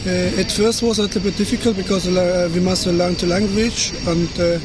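A man's voice: high-pitched, fairly even intonation. A young man from Afghanistan, a student, answering questions in hesitant, outdoors. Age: young adult. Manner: halting non-native English, hesitant. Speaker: student interviewee. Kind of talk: casual chat. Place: outdoors near a busy square. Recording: outdoor street noise.

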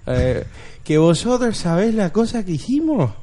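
A man's voice: high-pitched, big pitch swings, unhurried, dark tone, voice pushed up. A man putting on an imitation Argentine accent as a boisterous, theatrical fellow swapping wild stories. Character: boisterous Argentine man reminiscing about drunken escapades.

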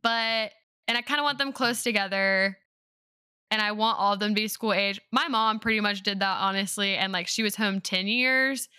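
A young woman in her twenties chats with her best friend on a podcast, giggling often and talking in easy, rambling sentences. Her voice is bright and forward. The sound is close and dry. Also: mid-range voice, steady tone, normal speed, smooth, dry recording. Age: 20s. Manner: bubbly, giggly, chatty. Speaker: podcast co-host, teacher. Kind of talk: casual chat between friends.

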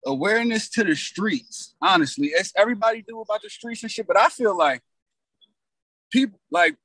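Raspy bright-voiced young man. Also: sits high, expressive, normal speed, no room sound, poor audio. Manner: loose, slang-heavy storytelling. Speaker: rapper guest. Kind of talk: casual interview talk.

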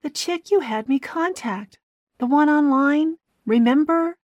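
A woman voicing a hot-tempered, blunt young woman who talks in a sharp, exasperated way in an audiobook. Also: high voice, expressive, moderate pace, no room sound, pitched above the performer's own voice. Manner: sharp, exasperated, name-calling. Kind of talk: audiobook character voice.

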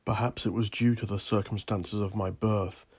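A man from Northern Ireland narrating his own scripted story as an author, in a brooding, solemn, deliberate and theatrical manner. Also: on the low side, fairly even intonation, a little mellow, breathy edge.

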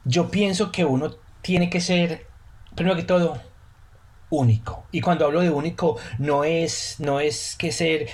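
A Colombian man, a pastry chef, speaking as a podcast guest in an earnest way, often repeating words as he searches for them. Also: a little high. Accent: Colombian Spanish. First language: Spanish. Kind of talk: casual podcast interview.

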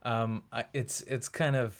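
A man's voice: medium pitch, fairly even intonation, measured pace, dry recording. A young man from Australia with a light Australian accent, a native Serbian speaker, chatting casually as a podcast guest with many "like" fillers. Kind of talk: casual conversation.

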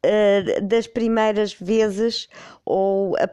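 A woman's voice: medium pitch, fairly even intonation, extremely slow, mellow, clear, resonant tone, no echo. A middle-aged woman with a European Portuguese accent, congested with a cold, telling a personal story in a rambling, amused way on her podcast. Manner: rambling, self-correcting, amused. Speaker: podcast host.

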